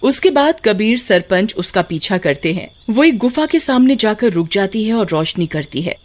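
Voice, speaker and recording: A woman storyteller reading scripted narration for an animated tale. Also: mid-range voice, normal speed.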